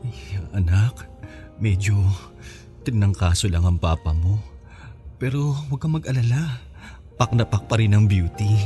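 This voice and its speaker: Man acting a low-voiced, campy Filipino father. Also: measured pace, long pauses, music in the background, lightly acted. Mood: reassuring. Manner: campy, affectionate, consoling. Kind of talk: radio drama acting.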